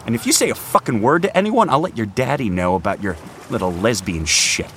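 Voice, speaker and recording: A man's voice: fairly low pitch, expressive, fairly quick, fairly bright tone, a little hiss or hum. An actor playing a cruel, domineering young crew captain in an audio drama, a man with a mocking, condescending manner. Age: young adult.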